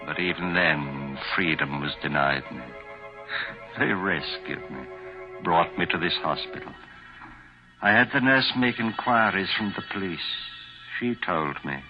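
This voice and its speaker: A man's voice: low voice, a little slow. An actor playing a tormented, despairing older man making a melodramatic confession in a 1940s radio horror play. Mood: bleak, resigned. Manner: melodramatic first-person confession. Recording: muffled 1940s radio broadcast with music.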